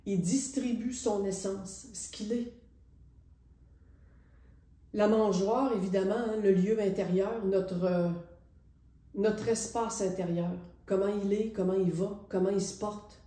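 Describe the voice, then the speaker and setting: A middle-aged woman with a Quebec French accent talks casually and reflectively about her painting, hesitating and pausing often. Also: medium pitch, dark tone. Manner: reflective, hesitant, with many pauses. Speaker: painter presenting her artwork. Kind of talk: casual spoken art presentation. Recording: roomy echo.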